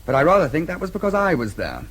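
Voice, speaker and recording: An actor playing a dry, formal British diplomat in a radio drama, with a slightly husky voice and a wry manner. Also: male voice, fairly high pitch, huge pitch swings, fast, fairly bright tone, full character voice. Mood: thoughtful.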